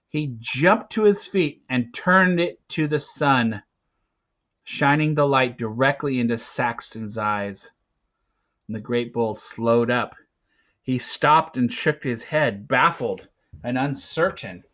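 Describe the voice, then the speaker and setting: A man reads an adventure story aloud at a slow, deliberate pace. His delivery is theatrical and emphatic, moving between hushed phrases and louder ones. His voice sits at an average male pitch, and the recording is dull and lo-fi. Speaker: reader of a children's adventure novel. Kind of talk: dramatic read-aloud narration.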